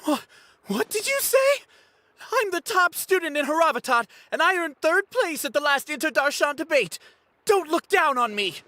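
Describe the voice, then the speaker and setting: A woman's voice: high-pitched, sing-song, normal speed, sharp tone, heavily acted. A woman voicing a proud, touchy young male student who stammers when flustered. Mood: indignant.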